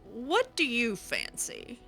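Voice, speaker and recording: A woman's voice: fairly high pitch, wildly swooping pitch, takes it slowly, vocal fry, pushed and bright, faint music behind. A woman improvising in character over a video game, conversational and hesitant, often trailing off. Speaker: roleplay game streamer.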